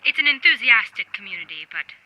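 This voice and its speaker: A woman's voice: medium pitch, very animated, fast, sharp tone, no room sound, exaggerated voice, poor audio. An actress playing a self-assured female academic being interviewed in an audio drama, precise, articulate and emphatic. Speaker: interviewed expert.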